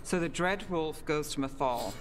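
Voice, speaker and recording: An actress playing a shrewd, inquisitive party leader in a video game, with brisk questioning delivery. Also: female voice, low-pitched, expressive, crowd noise. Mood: thoughtful.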